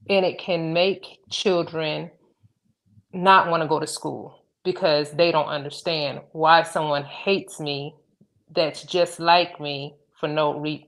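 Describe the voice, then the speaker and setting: Woman, 40s, from Georgia, deep gravelly voice, slow hesitant panelist. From Georgia, USA. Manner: hesitant, many ums and restarts. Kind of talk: casual panel discussion.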